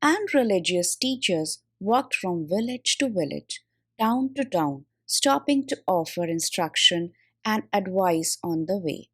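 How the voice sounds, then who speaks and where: A woman reading a school textbook aloud as scripted audiobook narration, in deliberate, measured phrases. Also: medium pitch, expressive. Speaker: audiobook reader.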